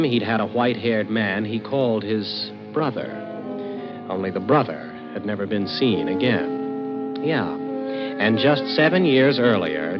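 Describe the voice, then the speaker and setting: Brash radio investigator, muffled, music underneath. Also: male voice, on the low side. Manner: hard-boiled, wisecracking, insistent. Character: brash, wry, confident male investigator narrating his case. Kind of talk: 1940s radio drama narration and acting.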